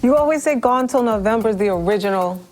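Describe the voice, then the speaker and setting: A woman's voice: a little high, animated, fast talker, a little mellow, faint music behind. A young woman interviewing a guest, who speaks in few words and prompts him with short questions. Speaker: interview host. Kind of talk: interviewer, brief backchannels.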